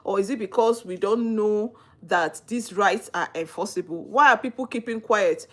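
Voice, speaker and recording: A woman's voice: medium pitch, normal speed, a bit bright. A young adult woman from Nigeria with a Nigerian accent, hosting a live stream, asking earnest rhetorical questions and greeting viewers by name. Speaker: livestream host on legal topics. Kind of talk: live-stream talk answering viewer comments.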